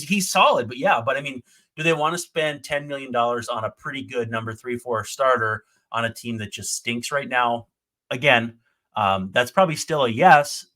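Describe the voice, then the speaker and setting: A man co-hosting a sports podcast, reeling off numbers with a casual, analytical delivery. His voice sits at an ordinary middle pitch. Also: sharp tone. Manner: rattles off stats in an analytical tone. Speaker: podcast co-host.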